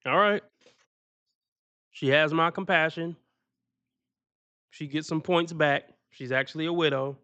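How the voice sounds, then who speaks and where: A man's voice: fairly high pitch, unhurried, pauses often, bright, forceful tone, clear, resonant tone, no echo, highs cut off. A man hosting a livestream, reacting to a played clip with blunt, mocking commentary. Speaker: livestream host reacting to clips.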